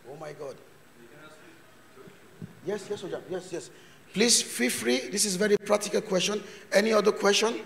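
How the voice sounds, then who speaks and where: A man with a high voice gives a chatty conference talk, mixing Turkish words into loose English and playing out small exchanges as he goes. His voice carries a long reverb from a university hall. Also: moderate pace, creaky, long pauses, a bit bright, a little hiss or hum. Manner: chatty, joking, acts out little scenes. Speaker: invited lecturer.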